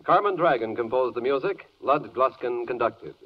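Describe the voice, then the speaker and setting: A man's voice: medium pitch, animated, moderate pace, slightly rough. A man announcing formally from a script in 1940s radio style. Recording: muffled old 1940s radio broadcast. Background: music.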